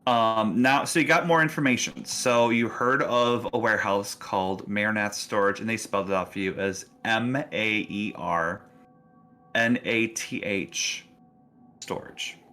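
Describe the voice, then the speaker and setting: A man's voice: lowish voice, unhurried, bright, forceful tone. A man working as game master, narrating casually in an easygoing, encouraging way. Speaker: game master of a tabletop roleplaying game.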